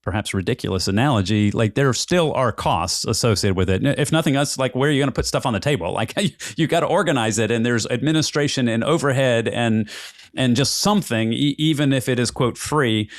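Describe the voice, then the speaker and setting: A man's voice: mid-range voice, brisk, no room sound, clean studio sound. A man hosting a casual interview, rambling and thinking aloud as he repeats words. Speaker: podcast host, interviewer.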